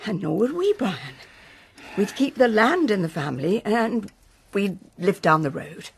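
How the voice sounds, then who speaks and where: An actress playing a weary, anxious older wife in a naturalistic radio soap, measured and pleading. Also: female voice, medium pitch, sing-song, gravelly, a little hiss or hum. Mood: persuading.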